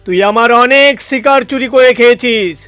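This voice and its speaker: A man's voice: very high-pitched, moderate pace, fairly bright tone, no echo, faint music behind. A man voicing a bossy, menacing, impatient lion in a children's cartoon, domineering and taunting. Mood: suspicious.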